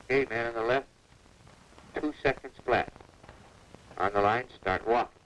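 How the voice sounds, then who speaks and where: A man's voice: mid-range voice, normal speed, background noise. A man working as a firing range instructor, calling out short commands on 1960s film sound.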